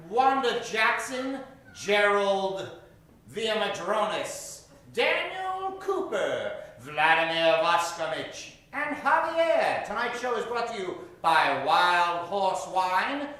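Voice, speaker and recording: A theatrical man hosts an improv show from the stage, projecting to the crowd. His voice is bright and animated, heard at a distance in a very echoey theater. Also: sits high, takes it slowly. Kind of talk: improv audience warm-up, gathering suggestions.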